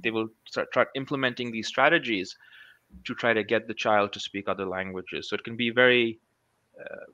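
Man in his thirties, interview guest over headset; forceful bright voice, halting and thoughtful, dry lo-fi call sound. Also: lowish voice, steady tone, dry recording. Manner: thoughtful, many restarts and fillers. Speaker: guest researcher. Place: small room at home.

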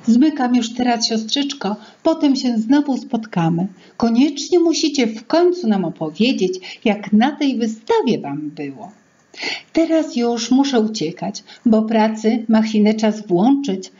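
A woman's voice: a little high, lively intonation, unhurried, warm tone, dull audio. A woman voicing a cheerful, affectionate young girl from a fairy tale in a bubbly sing-song manner. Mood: cheerful, hurried.

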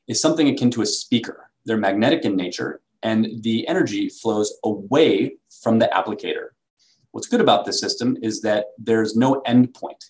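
A man presenting a product as a company sales executive, in a measured, explanatory way. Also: fairly low pitch, poor audio.

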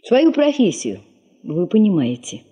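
An actress playing a weary, bitter middle-aged secretary in a 1970s Soviet radio drama, with an expressive, rueful manner. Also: female voice, mid-range voice, unhurried, husky. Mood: bitter, grieving. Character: weary, bitter older secretary, a bereaved mother in the north.

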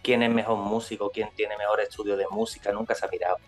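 A man's voice: on the low side, flat delivery, quick pace. Guy on a webcam call, chatty, keeps asking "me entiendes", bit of music behind. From Spain. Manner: rambling, restarts, tag questions. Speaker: guest musician. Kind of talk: casual video call interview. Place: indoor room. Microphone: webcam on video call.

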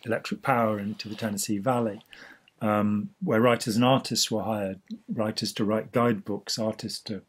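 A man, slightly hoarse, explaining history in a casual chat and gesturing freely as he talks. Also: on the low side, steady tone, normal speed. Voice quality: husky. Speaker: host. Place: small indoor room.

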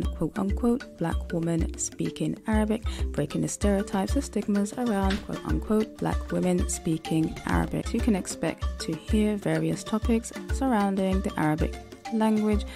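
Low, gravelly-voiced woman podcast host. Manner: measured, reads from notes, says "quote unquote" often. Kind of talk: scripted educational podcast narration.

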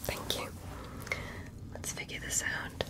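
A woman playing a polite, curious alien doctor in an ASMR medical roleplay, speaking gently and carefully in a breathy whisper with formal, reassuring phrasing. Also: takes it slowly, natural voice. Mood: calm, attentive. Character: polite, curious female alien doctor examining a human patient.

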